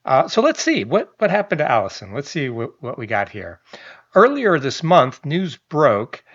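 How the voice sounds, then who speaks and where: A man's voice: fairly high pitch, huge pitch swings, moderate pace, a bit bright, breathy edge. A man, reading a news article aloud and adding casual commentary, with chatty asides, restarts and small chuckles. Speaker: online commentator. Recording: slightly dull lo-fi audio.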